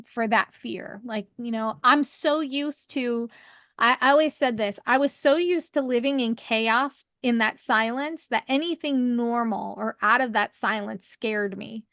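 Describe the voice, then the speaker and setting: A woman with a higher voice, co-hosting a podcast and talking openly in a rambling, candid way. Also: measured pace, a bit bright, no room sound, studio quality. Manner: rambling, restarts, laughs often. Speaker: podcast co-host. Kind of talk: candid conversational chat.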